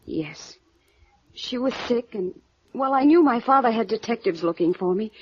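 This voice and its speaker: A guarded, defiant young heiress on the run, with a fairly high, husky voice. She is defensive and halting, in old radio drama style. The sound is dry and muffled. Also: lively intonation, a little mellow, no echo. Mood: resigned confession. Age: young adult. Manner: defensive, halting when cornered. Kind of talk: 1950s radio noir acting. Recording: dull, lo-fi old radio recording.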